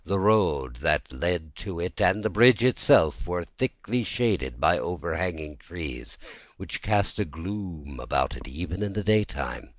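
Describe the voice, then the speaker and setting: A man narrating a classic ghost story as a scripted reading, in a measured storytelling manner. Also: low-pitched, animated, dry recording. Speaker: audiobook narrator.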